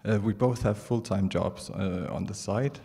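A middle-aged Danish man with a Danish accent, giving a prepared artist's talk on stage in a halting, measured way. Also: fairly low pitch, creaky, warm tone, slightly rough. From Denmark. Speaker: artist presenting at a conference. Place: large indoor theatre stage. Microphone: handheld microphone. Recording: reverberant auditorium PA sound.

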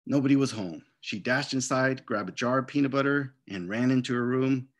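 Male children's author, middle-aged, mid-pitched, expressive storybook delivery and casual talk on video call. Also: fairly quick. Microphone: video call microphone.

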